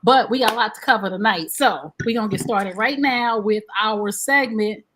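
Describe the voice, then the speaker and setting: A woman, hosting a live-streamed relationship talk show in a chatty, animated way. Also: medium pitch, normal speed. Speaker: host.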